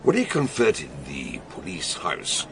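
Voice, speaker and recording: Gravelly, theatrical older British detective. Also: male voice, low-pitched, wildly swooping pitch, slow pace, slight background noise, exaggerated voice. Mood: emphatic explaining. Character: eccentric, brilliant detective doctor, narrating his case. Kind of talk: radio drama acting and narration.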